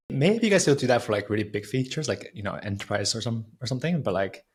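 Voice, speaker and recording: A man's voice: medium pitch, animated, quick pace, slightly rough. A man hosting an interview in a casual, chatty style. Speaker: interview host. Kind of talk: casual chat.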